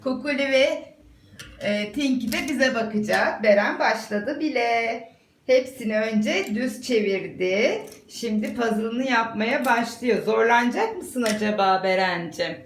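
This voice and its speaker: Encouraging woman with a creaky, higher voice. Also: a little slow, a little hiss or hum. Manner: encouraging, praising. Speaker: mother filming and narrating her child's puzzle activity. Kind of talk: casual narration and chat with a young child. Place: indoors at home, echoey room. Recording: echoey room with music behind.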